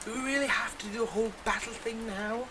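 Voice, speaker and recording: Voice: medium pitch, steady tone, quick pace, close to an everyday voice. A performer in an audio roleplay playing a theatrical, sarcastic supervillain who teases and grumbles with mock condescension, over a noisy background. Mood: sarcastic, exasperated. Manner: mock-condescending, dramatic, chatty asides. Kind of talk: scripted audio roleplay monologue.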